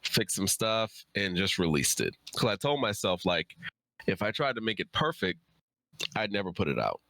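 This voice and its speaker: A man's voice: on the low side, big pitch swings, moderate pace, bright, forceful tone, no room sound, low-quality recording. A man speaking as a writer guest in a casual interview, earnest and informal.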